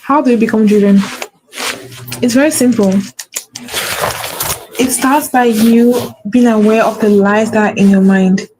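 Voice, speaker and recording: A woman's voice: a little high, measured pace, long pauses, background noise. A woman with a stutter hosting a faith-based motivational talk, repeating her key phrases for emphasis. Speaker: podcast host.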